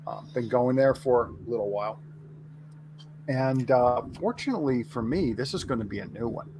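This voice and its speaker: Man, mid-pitched voice, casual panelist chat, clear background noise. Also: lively intonation, moderate pace. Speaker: panelist and conference organizer.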